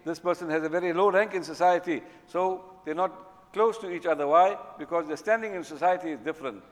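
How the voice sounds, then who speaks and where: Fast-talking male preacher in an echoey hall. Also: fairly high pitch. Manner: emphatic, repeats phrases for stress. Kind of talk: religious sermon. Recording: echoey hall with long reverb.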